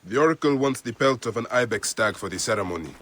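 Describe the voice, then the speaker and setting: An actor playing a calm hunter father in a video game, measured and instructive. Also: medium pitch, slight background noise, natural voice. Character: calm, instructive hunter father guiding his young child. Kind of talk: naturalistic video game acting.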